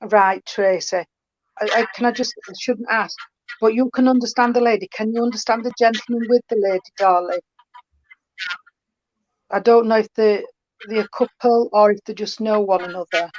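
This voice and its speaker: A woman giving a spiritualist mediumship reading, talking warmly and calling the listener darling and sweetheart. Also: fairly high pitch, fairly even intonation, moderate pace, dry recording. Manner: affectionate, calls the sitter darling and sweetheart. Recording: dull lo-fi stream with faint noise.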